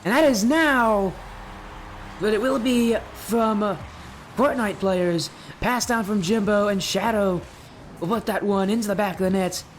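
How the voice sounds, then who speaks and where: A young man with a high-pitched voice casting an esports match live, chatty and rambling, answering chat between plays. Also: measured pace, a little hiss or hum. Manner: chatty, rambling, replies to chat mid-play. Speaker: esports caster and streamer. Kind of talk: live game commentary.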